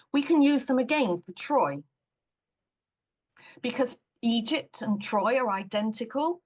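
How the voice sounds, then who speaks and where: A woman's voice: on the high side, pitched above the performer's own voice. Woman putting on a brash, impatient film producer. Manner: blustering, loud, dismissive.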